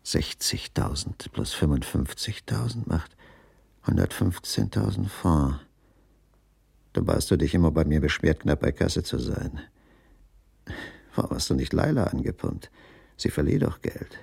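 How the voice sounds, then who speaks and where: An actor playing a gloomy, suspicious husband in a radio crime drama, brooding and halting when questioned. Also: male voice, big pitch swings, darkish tone, full character voice. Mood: bitter, brooding. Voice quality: gravelly, husky low voice. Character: gloomy, suspicious dining-car waiter, husband of an injured woman.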